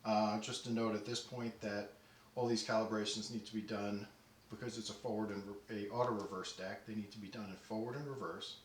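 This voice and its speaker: Low-voiced man, roomy distant recording. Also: flat delivery. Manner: halting, methodical explaining. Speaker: audio deck restorer demonstrating work. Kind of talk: unscripted technical walkthrough. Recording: roomy, distant mic, faint noise.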